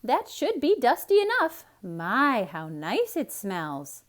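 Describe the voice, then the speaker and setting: A woman's voice: on the high side, wildly swooping pitch, slow, voice pushed up, full character voice. A woman voicing a cheerful, naive, literal-minded housemaid in a storybook, folksy and full of wonder. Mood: pleased, marvelling. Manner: wondering, folksy, self-assured.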